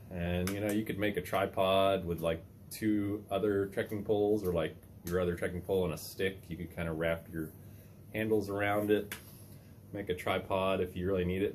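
A man in his thirties hosting a casual outdoor how-to vlog, talking in a relaxed, chatty way with many fillers, filmed on a phone held at arm's length on a mountain lookout tower. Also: low-pitched, slight background noise. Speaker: vlogger host. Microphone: phone on a trekking pole at arm's length.

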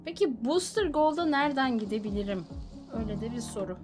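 A woman game streamer with a high voice. She chats informally through her gameplay, muttering questions to herself, with loud game music underneath. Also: expressive, quick pace. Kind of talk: casual live gameplay commentary. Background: game soundtrack.

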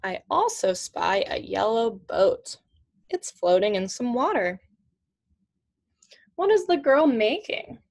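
A woman's voice: on the high side, big pitch swings, takes it slowly, creaky, long pauses, a little mellow. A woman in her twenties reading a picture book aloud to children in simple, teacherly phrases with questions. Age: young adult, 20s. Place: small indoor room at home with shelves.

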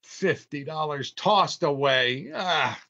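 A man's voice: on the high side, expressive, slow pace, background noise. An older man playing a long-suffering, scolding family man in an old-time radio comedy, theatrical and exasperated. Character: exasperated, long-suffering grumbling husband and father. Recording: slightly dull online recording.

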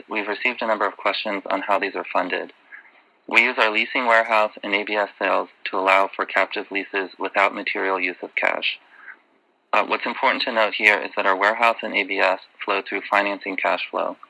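A man delivering prepared earnings call remarks as a finance executive, in a formal, measured way. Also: lowish voice, creaky, bright, forceful tone, rough recording.

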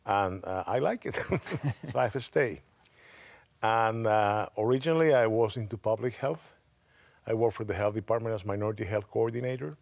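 A man in his sixties with a Latin American Spanish accent talks in a relaxed way on a studio panel. His voice sits a little low, and the lapel mic sounds close and dry. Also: moderate pace, creaky, no echo. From Cuba. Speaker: state commission director, guest. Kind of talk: studio interview answers. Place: TV studio set.

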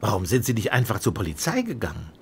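A skeptical police inspector in a radio drama. He is proud and blunt, with a low voice and very mobile pitch, over faint ambient noise. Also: wildly swooping pitch, fast talker. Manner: blunt, questioning. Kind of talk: German radio play acting.

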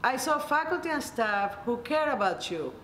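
A middle-aged woman with a Venezuelan Spanish accent reads a scripted welcome as an associate dean. Her voice is slightly high, in an echoey office with faint background noise. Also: very animated, normal speed, fairly bright tone. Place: indoor office by large windows.

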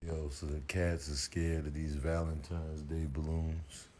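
A man casually comments on a home video in slang, repeating himself. His voice is extremely deep, flat and mellow, recorded indoors with faint noise. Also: a little slow.

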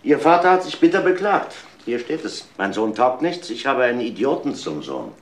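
An actor playing an insistent, accusing police inspector in a German crime audio play, questioning with deliberate emphasis. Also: male voice, mid-range voice, big pitch swings. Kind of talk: German radio crime drama acting.

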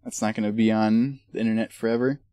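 A man's voice: fairly low pitch, steady tone, fast talker, warm tone, dry recording, clean studio sound. Joking man chatting on a game stream. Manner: joking, rambling, chatty asides. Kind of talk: casual chat while gaming.

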